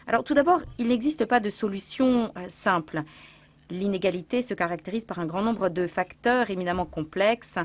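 A woman gives a formal address from a lectern in a large hall and reads her prepared text in a measured way. The lectern microphones pick up her middle-pitched voice. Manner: measured, formal, read from notes.

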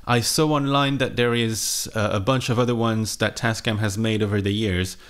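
A man in his thirties with a fairly low voice talks off the cuff to camera. He has the casual delivery of a guitarist running a music vlog, recorded on a close microphone in a small home studio. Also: fairly even intonation, moderate pace. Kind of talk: casual unscripted chat to camera. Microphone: microphone on a boom arm, close.

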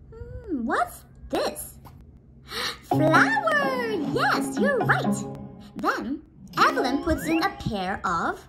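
Children's storyteller: woman, very high voice, very slow delivery, coaxing questions, music behind. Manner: animated, exclaiming, coaxing questions with drawn-out words. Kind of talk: interactive storytelling for preschoolers. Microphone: phone or tablet video call, close to face.

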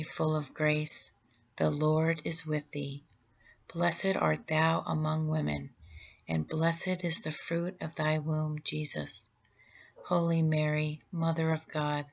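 Brief: a woman leading a rosary with a low, flat and reverent delivery, on a dull lo-fi recording. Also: vocal fry. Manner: slow, steady and reverent. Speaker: prayer leader. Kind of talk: rosary prayer recitation.

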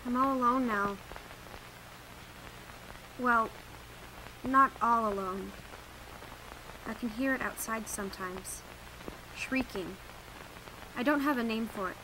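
An actress playing a frightened woman locked in alone, speaking in an anxious, halting way in a radio drama. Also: a little high, noisy background. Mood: pleading.

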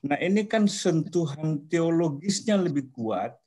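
A man, asking a question in an online discussion in a deliberate, halting way. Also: a little high, lively intonation, mellow. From Indonesia. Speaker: seminar participant asking questions. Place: small office, indoors. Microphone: video call, laptop at desk distance.

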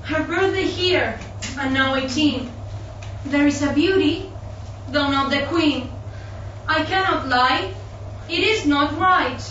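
Woman as bright, high magic mirror spirit. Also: slow pace, long pauses, slight background noise. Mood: solemn. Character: magic mirror spirit, truthful, solemn, speaking in rhyme. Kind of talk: rhymed pantomime stage delivery. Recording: echoey muffled theatre recording from far off.

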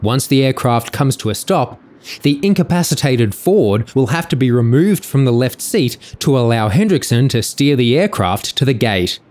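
A man narrating a documentary in a measured, sober scripted delivery. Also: mid-range voice, very animated, a little mellow.

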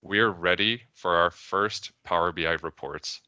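A man's voice: low-pitched, unhurried, sharp tone, clean tone, dry recording, lo-fi sound. A young man with a Canadian accent giving a conference presentation over a video call, telling a story and quoting characters along the way. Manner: storytelling with quoted voices. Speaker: conference speaker. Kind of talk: presentation talk.

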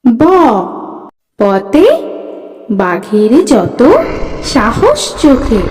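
A woman's voice: medium pitch, big pitch swings, extremely slow, a little mellow. A woman reciting nursery rhymes for children in a singsong teaching style. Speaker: children's alphabet rhyme presenter. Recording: long reverb, music behind. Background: music.

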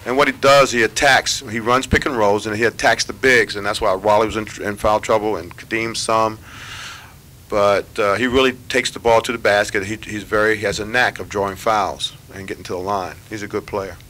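A middle-aged man, a basketball head coach, answering reporters after a game in measured, unhurried talk full of fillers and pauses. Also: fairly low pitch, fairly even intonation, pushed and bright. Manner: measured, thinking aloud with many fillers. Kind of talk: postgame press conference answers. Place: press room with sponsor backdrop. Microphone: table microphones at a press conference.

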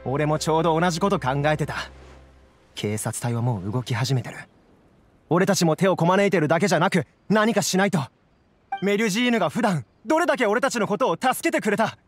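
A man's voice: sits high, expressive, brisk. An actor playing an earnest, hot-blooded young townsman in a Japanese video game, fervent and resolute.

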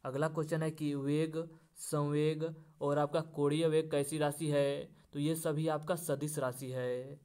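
Higher-voiced man, very even tone. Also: measured pace, hardly any pauses. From India. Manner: formulaic, repetitive question-then-answer delivery. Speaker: exam-prep teacher. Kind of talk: instructional lesson, reading out questions and answers.

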